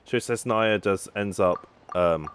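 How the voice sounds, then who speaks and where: A man's voice: on the low side, takes it slowly, a little hiss or hum. A man giving casual live commentary as host of a gaming video. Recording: game music behind the voice.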